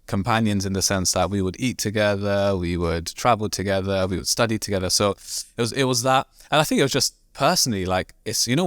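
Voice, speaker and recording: Fast-talking, low-voiced man from Devon. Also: a bit bright, no echo, clean studio sound. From Devon, England. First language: not Arabic. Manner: fast, rambling speech full of "like" fillers and restarts. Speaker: imam and entrepreneur, podcast guest. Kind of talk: casual conversational interview.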